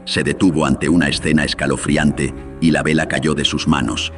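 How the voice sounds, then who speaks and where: A man's voice: rumbling low, level tone, moderate pace. A man narrating a scripted horror radio drama in a dramatic storytelling style, with a processed studio voice. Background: loud atmospheric music.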